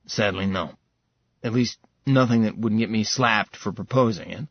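Sardonic dragon shapeshifter, low and dry, voiced by a man, husky. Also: normal speed, no room sound, lo-fi sound. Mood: wry. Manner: dry, teasing.

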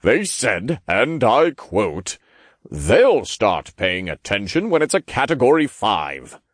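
A man's voice: lowish voice, huge pitch swings, slow, no echo, studio quality, heavily acted. Man voicing a weary, exasperated archangel.

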